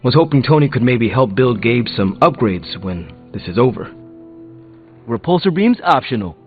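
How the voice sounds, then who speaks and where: An actor with an American accent playing an earnest, self-conscious young hero in a video game, casual and hesitant. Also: male voice, medium pitch, sing-song, moderate pace, phone-quality sound, close to an everyday voice. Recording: thin band-limited audio, faint music.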